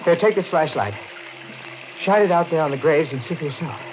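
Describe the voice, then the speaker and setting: A man's voice: a little high, expressive, a little fast, natural voice. An actor playing a haunted, confused widower in an old-time radio horror play, dramatic and faltering in his speech. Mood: insistent. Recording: noisy, muffled old radio recording.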